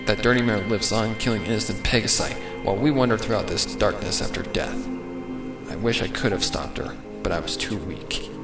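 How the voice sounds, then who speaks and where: Guy doing a gruff bitter dead pony mare out for revenge, low voice, music really loud behind. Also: a little fast, reverberant, close to an everyday voice. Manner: rough, defiant answers.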